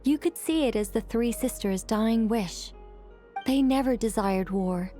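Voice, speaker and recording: A woman voicing a composed, wise character in a video game, recounting lore in a calm, measured way. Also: a little high, soft background music, natural voice. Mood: solemn. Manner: calm, measured, solemn storytelling. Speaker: story character explaining lore.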